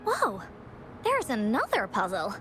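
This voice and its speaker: A woman's voice: a little high, wildly swooping pitch, slow, a bit bright, faint music behind, exaggerated voice. A woman voicing a bubbly, brave little girl adventurer in an anime-style video game. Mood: curious.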